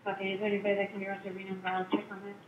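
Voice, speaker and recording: A woman's voice: mid-range voice, completely flat delivery, echoey room. A woman working as a police dispatcher, speaking in clipped procedural phrases over a radio. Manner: brisk, clipped procedural talk. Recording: muffled, noisy police scanner radio.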